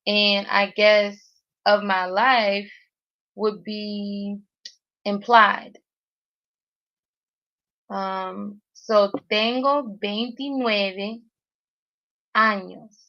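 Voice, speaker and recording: A woman's voice: medium pitch, level tone, drawn-out words, pauses often. A woman, learning Spanish on a livestream and thinking aloud, hesitant and full of self-corrections. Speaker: Spanish learner streaming a daily challenge. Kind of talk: thinking aloud while working through a language app. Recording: dull lo-fi stream audio.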